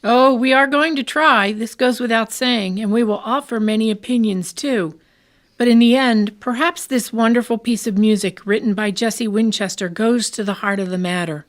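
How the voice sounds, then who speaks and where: Female co-narrator for radio theatre, voice a little high, scripted delivery. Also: normal speed.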